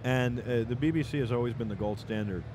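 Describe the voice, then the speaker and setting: A man's voice: mid-range voice, quick pace. A man hosting a live tech show, upbeat and promotional, interviewing a guest. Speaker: show host. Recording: noisy trade show floor.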